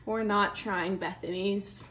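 A woman's voice: mid-range voice, fairly even intonation, slow, reverberant, far from the mic, very noisy, lightly acted. A teenage girl playing the host and judge of a mock baking show in a student comedy sketch. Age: teens.

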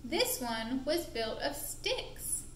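A woman narrating a puppet show for young children in an expressive, deliberate, playful way, recorded in an indoor room. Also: high voice. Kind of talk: animated storytelling for young children. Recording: roomy echo with faint background noise.

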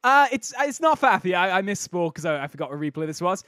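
High-pitched, slightly croaky male gaming commentator. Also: sing-song, quick pace, pushed and bright, dry recording, clean studio sound. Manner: jokey, giggly, self-interrupting. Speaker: host of a game replay showcase. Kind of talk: casual video game commentary.

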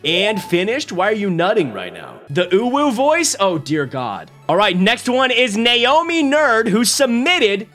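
High, lively young male streamer. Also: a little slow, few pauses, soft background music. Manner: energetic, joking, laughs often. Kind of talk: casual live-stream commentary. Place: small home streaming room. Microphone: desk mic on boom arm.

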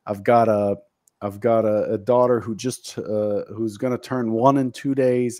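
A man's voice: medium pitch, mellow. A man in his fifties hosting a casual video-call chat, talking earnestly and a bit self-deprecatingly about his goals. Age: 50s. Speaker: host. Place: home office. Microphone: studio mic on video call.

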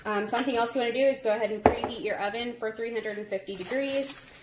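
A young woman narrating a casual cook-along in her home kitchen, chatty and relaxed, laughing at her own slips. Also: fairly high pitch, fast talker, very noisy. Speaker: home cooking vlogger. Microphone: phone camera over the counter.

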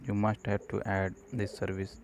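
Man, monotone delivery, halting tutorial explanation, clear background noise. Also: fairly low pitch. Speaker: programming tutorial presenter. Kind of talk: unscripted screencast tutorial narration.

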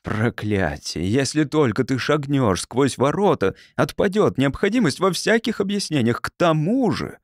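A man's voice: mid-range voice, big pitch swings, normal speed, few pauses, dry recording, pitched above the performer's own voice, lightly acted. A man gives voice to a mysterious, battered stranger in an audiobook tale. He is pushy and persuasive, with very mobile intonation. The recording is dry and close. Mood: desperate, urgent. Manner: urgent, persuasive. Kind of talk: audiobook character acting.

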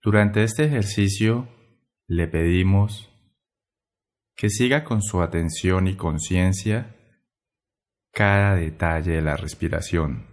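A man guiding a meditation in a gentle, measured way, pausing between short phrases. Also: low voice. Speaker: meditation guide. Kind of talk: guided meditation narration.

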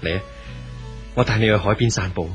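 A man's voice: lowish voice, fairly quick, highs cut off, lightly acted. A man playing a tender, subdued young man in love in a 1970s radio drama, with a Hong Kong Cantonese accent. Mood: tender, wondering. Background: music.

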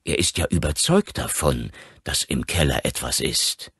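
A man voicing an opinionated psychiatrist in an audiobook, lecturing in a measured, earnest, emphatic way. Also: extremely low pitch, fairly bright tone, breathy edge, no echo, clean studio sound, exaggerated voice. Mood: frank, concerned. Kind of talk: audiobook character acting.

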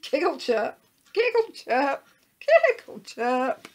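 A woman's voice: high voice, huge pitch swings, slow pace, voice pushed up, full character voice. A woman voicing a small female bird in a children's story, teasing, chirpy and full of giggles. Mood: giggly chirping.

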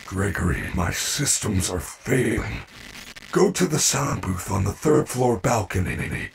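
A man's voice: low-pitched, sing-song, unhurried, creaky, a little hiss or hum, full character voice. A man voicing a friendly, protective animatronic bear in a video game, speaking earnestly in formal, precise phrases. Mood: encouraging, concerned. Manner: formal, precise diction without contractions, earnest. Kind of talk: video game character acting.

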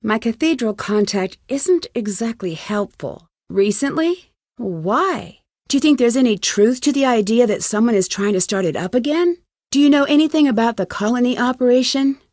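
Young american girl detective in a video game, kinda high voice, nosy n determined, super dry studio sound. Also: animated, moderate pace, a little mellow, no echo. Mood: inquisitive. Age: young adult. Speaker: video game lead character. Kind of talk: scripted game dialogue.

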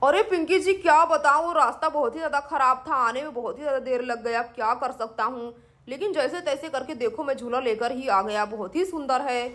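A woman's voice: high voice, brisk, a bit bright, pitched below the performer's own voice. A woman voicing an easygoing, apologetic young uncle in a children's doll story.

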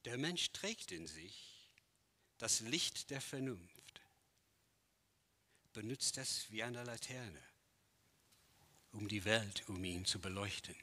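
A man in his sixties, a native English speaker, giving a theatrical lecture performance in a large empty gallery hall, declaiming with dramatic swells and hushed drops. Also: lowish voice, lively intonation, takes it slowly, pauses often.

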